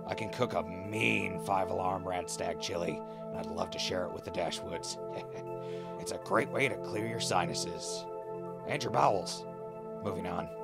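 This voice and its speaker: Guy playing easygoing ghoul radio dj, lowish creaky voice, chatty and laid-back, loud music under it. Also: unhurried, full character voice. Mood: upbeat, wry. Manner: conversational, laid-back, placating. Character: easygoing male ghoul radio host and wasteland traveler. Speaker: radio host. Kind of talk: post-apocalyptic audio drama acting and narration.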